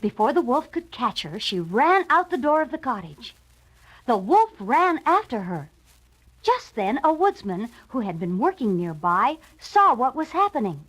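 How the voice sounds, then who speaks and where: A woman's voice: on the high side, very animated, measured pace, fairly bright tone, a little hiss or hum, low-quality recording. A woman reading scripted narration for a children's read-along storybook.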